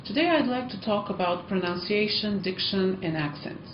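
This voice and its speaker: A woman's voice: mid-range voice, expressive, normal speed, slight background noise. A woman from Eastern Europe with an Eastern European accent, a language school teacher chatting encouragingly to learners, with many ums and uhs. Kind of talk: casual vlog talk to learners. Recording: echoey, muffled room sound.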